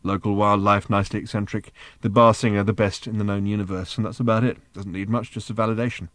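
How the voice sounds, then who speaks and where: Laid-back schemer, offhand and dry, lowish voice, as a male narrator reads him, storybook. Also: little pitch movement, quick pace, a bit bright, no room sound, close to an everyday voice.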